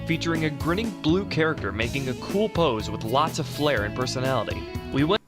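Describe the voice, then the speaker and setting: Lively-voiced man narrating over music. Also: medium pitch, brisk. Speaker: video essay narrator. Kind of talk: scripted narration.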